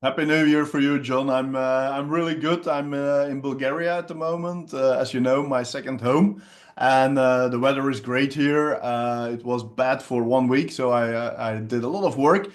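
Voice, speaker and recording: A middle-aged man with a Belgian Dutch accent talks in a relaxed video-call interview. His speech is halting, full of fillers and restarts, at an everyday middle pitch. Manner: hesitant, many fillers and restarts. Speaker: hypnotherapist and trainer. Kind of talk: casual interview chat.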